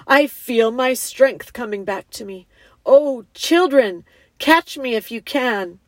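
A woman voicing a great male storybook lion, majestic and gentle, speaking gravely and slowly. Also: mid-range voice, no echo. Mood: joyful.

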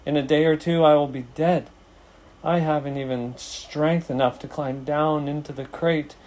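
A weary female spider from a storybook read by a man speaks calmly and firmly. She stays composed and matter-of-fact, with a gently scolding tone. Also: on the high side, moderate pace, slight background noise, close to an everyday voice, low-quality recording. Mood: weary, resigned. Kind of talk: children's storybook reading.

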